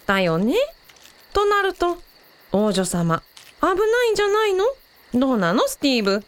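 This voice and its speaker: A woman playing an affectionate, perceptive mother with a gentle, expressive manner in a Japanese-style radio drama. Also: high voice, normal speed. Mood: concerned.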